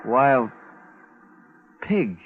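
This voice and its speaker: An actor playing a frightened, exhausted stranded man unraveling through a long night, muttering haltingly in 1950s radio drama style. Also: medium pitch, very animated, heavily acted. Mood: drained, subdued. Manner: halting, repetitive, desperate muttering. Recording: dull, noisy old 1950s radio recording.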